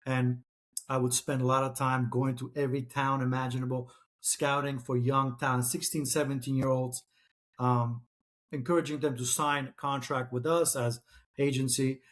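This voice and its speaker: A man's voice: mid-range voice, level tone, moderate pace. A middle-aged man who grew up in Czechoslovakia, a Czech native speaker, talking as an interview guest with frequent restarts and fillers. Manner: hesitant, many restarts and fillers. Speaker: author and guest. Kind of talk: conversational interview.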